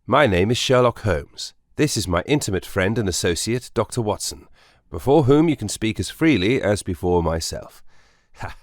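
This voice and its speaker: An actor playing a courteous, self-assured Victorian gentleman detective in an audiobook drama, precise and soothing. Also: low-pitched, no room sound, clean studio sound. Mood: courteous, brisk. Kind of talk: full-cast audiobook drama acting.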